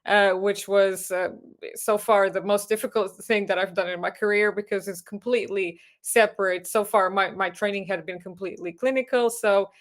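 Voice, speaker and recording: A woman's voice: medium pitch, fairly even intonation, moderate pace. Portuguese-accented woman giving a hesitant talk. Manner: hesitant, many fillers and restarts, earnest. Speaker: neurosurgery registrar and clinical lecturer. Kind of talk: webinar talk with slides.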